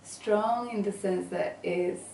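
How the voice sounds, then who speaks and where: Soft-spoken woman designer in an echoey room. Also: medium pitch, slow, vocal fry. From England and Venezuela. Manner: hesitant, with many fillers and restarts. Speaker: guest, graphic designer. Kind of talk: casual interview answers. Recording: echoey room, mic at a distance, faint noise.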